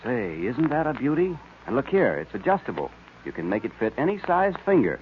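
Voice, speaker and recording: An American man announcing on a 1940s radio show in an emphatic, enthusiastic sales style with a husky voice. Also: fairly high pitch, big pitch swings, brisk, a little hiss or hum. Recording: tinny vintage radio recording.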